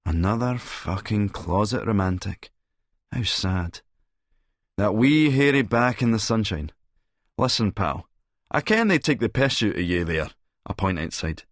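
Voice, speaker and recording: A man's voice: lowish voice, expressive, measured pace, no echo, studio quality. A man with a Scottish accent narrates an audiobook dramatically in the first person, in a sardonic, sneering manner.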